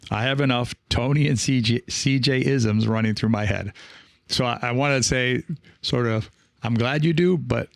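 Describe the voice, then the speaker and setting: A man talking casually as a podcast host and coach, reflective and encouraging, halting now and then and often checking in with the listener. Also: medium pitch, gravelly, no room sound, clean studio sound. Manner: reflective, halting, with frequent rhetorical check-ins.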